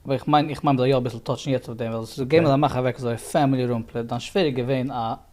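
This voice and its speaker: A man's voice: mid-range voice, steady tone, fast, darkish tone. Eager man hosting a podcast. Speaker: podcast host. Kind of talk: casual interview chat.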